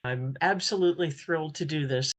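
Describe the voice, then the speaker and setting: A woman's voice: sits low, fairly quick. A woman hosting a radio interview, asking questions and giving announcements.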